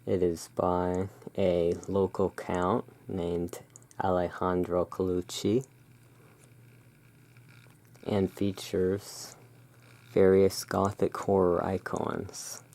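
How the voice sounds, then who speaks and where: Slow, low, creaky ASMR shopkeeper voice. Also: flat delivery. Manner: slow, deliberate, hushed sales patter. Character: courteous owner of a dark gothic curiosity emporium. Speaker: roleplaying shop owner, ASMR host. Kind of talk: soft-spoken ASMR roleplay.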